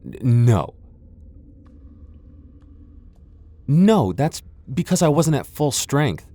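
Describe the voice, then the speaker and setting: A man's voice: medium pitch, very animated, measured pace, warm tone, no room sound. A male voice actor playing a proud tsundere vampire lord in an ASMR boyfriend roleplay, haughty and defensive, stammering when flustered. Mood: defensive, boastful.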